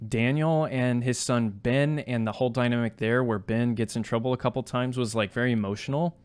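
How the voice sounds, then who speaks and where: A man with a medium-pitched voice shares his views in a casual podcast review. His phrasing is informal and loose, with plenty of "like" dropped into the sentences. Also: steady tone, brisk, clean tone. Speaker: podcast co-host. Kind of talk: casual video game review discussion.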